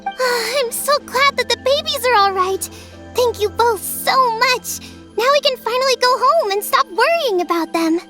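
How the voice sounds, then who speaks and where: A woman's voice: very high voice, animated, normal speed, long reverb tail, music in the background. A woman voicing a sweet young village child in a video game, earnest and chatty as a storyteller. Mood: grateful. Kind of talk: video game character dialogue.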